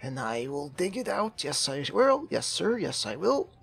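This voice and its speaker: A man playing an eccentric, earnest amateur therapist in a roleplay game, halting and awkward, repeating himself for emphasis. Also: on the high side, big pitch swings, gravelly, fairly bright tone, slightly rough, exaggerated voice. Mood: earnest, determined. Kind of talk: improvised roleplay in a zombie survival game.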